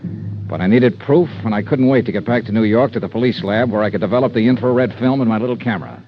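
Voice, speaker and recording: A man's voice: on the low side, quick pace, dull audio, lightly acted. An actor playing a wry American investigator who narrates his case in dry, dramatic 1950s radio detective style. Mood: wry, intrigued. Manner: dry, dramatic, clipped storytelling. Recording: old radio broadcast, limited band. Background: dramatic music.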